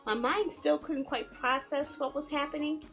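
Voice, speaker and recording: A woman game streamer reading a visual novel aloud in a theatrical, expressive way, with playful asides. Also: sits high, moderate pace. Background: background music.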